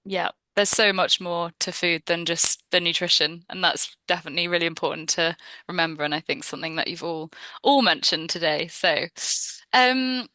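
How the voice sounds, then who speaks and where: Bright, crisp-voiced woman moderator. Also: fairly low pitch, normal speed, no room sound. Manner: hesitant, many ums. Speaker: webinar moderator. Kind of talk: moderating a panel discussion. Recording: webinar over video call.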